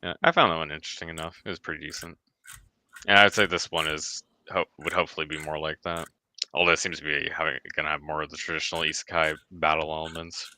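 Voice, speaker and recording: A man with a very deep, crisp, bright voice, chatting in a relaxed and joking way as host of an online show. He laughs easily as he talks. The sound is dry and close. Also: fast. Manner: laughs often, loose joking delivery. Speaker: podcast host. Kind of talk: casual chat.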